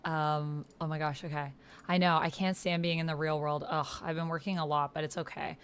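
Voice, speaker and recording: A woman with a fairly low voice hosts a casual game livestream. She talks informally to her viewers and thinks aloud as she goes. Computer keys clack behind her. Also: flat delivery, fairly quick, clean tone. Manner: chatty, informal, thinking aloud while typing. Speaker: streamer hosting a solo actual-play roleplaying game. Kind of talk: casual livestream chat, reading out typed roleplay. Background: computer keyboard typing.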